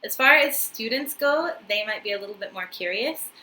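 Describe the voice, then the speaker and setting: A woman's voice: a little high, lively intonation, normal speed, sharp tone, slight background noise. A woman in her twenties with a New Zealand accent, chatting to the camera in a casual, friendly way with many ums and uhs, recorded close up in a small room. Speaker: vlogger, English teacher in Japan. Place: small room indoors, wooden floor, paper screens.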